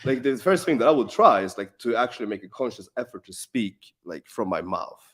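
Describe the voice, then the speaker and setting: A man at a mid-range pitch answers viewer questions on a live stream. His talk is loose and profane, packed with "like" and restarts. It sounds like unscripted, offhand chat. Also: lively intonation, a little fast, fairly bright tone, slightly rough. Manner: casual, profane, heavy filler words. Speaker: live Q&A host. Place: in Warsaw.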